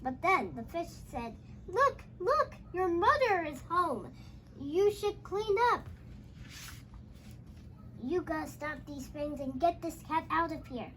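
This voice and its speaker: A young child with a high voice tells a storybook tale at a slow, halting pace. Phrases get repeated and restarted, and the dialogue is called out. There is faint noise behind the voice. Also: sing-song, bright, forceful tone, low-quality recording. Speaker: young host reading a storybook aloud.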